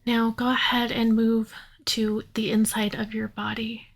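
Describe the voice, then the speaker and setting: A woman leading a guided yoga nidra meditation in a soothing, unhurried manner, leaving long pauses. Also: fairly high pitch, monotone, gravelly.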